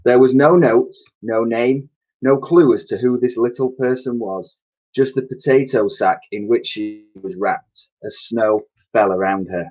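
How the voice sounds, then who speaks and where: A man in his thirties reading a children's storybook aloud in an expressive, explanatory way, like a teacher to pupils. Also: mid-range voice, measured pace. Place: small attic room with sloped ceiling, indoors. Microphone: webcam or laptop mic at arm's length. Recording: dull, lo-fi webcam sound.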